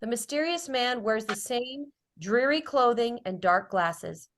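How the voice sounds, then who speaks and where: A woman's voice: a little high, expressive, a little slow, fairly bright tone. A woman reading stage directions as scripted narration at a drama table read.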